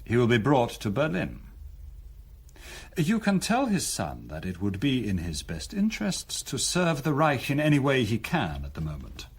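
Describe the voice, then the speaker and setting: A chilling Nazi chief in a radio drama. His husky, mid-pitched voice moves expressively up and down. He is courteous and pompous, with a soft threat under every measured phrase. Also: wildly swooping pitch, full character voice. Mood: cold threat. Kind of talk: broadcast radio drama acting.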